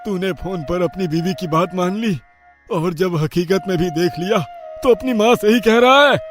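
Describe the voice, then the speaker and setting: Man playing a high-pitched scolding village uncle. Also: normal speed, over music, lightly acted. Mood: furious scolding. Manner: admonishing, emphatic.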